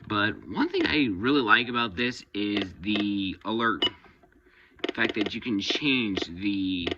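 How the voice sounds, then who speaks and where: A man giving a casual, unscripted review of his hobby collection, talking haltingly and pausing mid-phrase. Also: on the low side, very animated, highs cut off, background noise. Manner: halting, rambling delivery with pauses mid-phrase.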